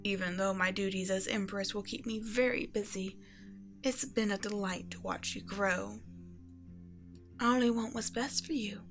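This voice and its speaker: A woman plays a proud evil empress and doting mother in a bedtime audio roleplay. Her voice sits at a medium pitch for a woman, and she tells her tale with measured, self-righteous regal poise. Soft music runs underneath the storytelling. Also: steady tone, close to an everyday voice. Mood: tender, possessive.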